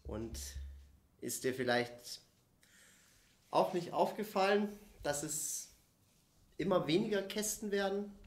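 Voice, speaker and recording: A man's voice: sits high, expressive, slow pace, long pauses, full character voice. Man as a brooding warehouse worker, roomy sound. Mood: doubting. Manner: hesitant, brooding. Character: downcast, doubting male warehouse worker, gently questioning. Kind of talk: improvised audio play. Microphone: microphone on stand.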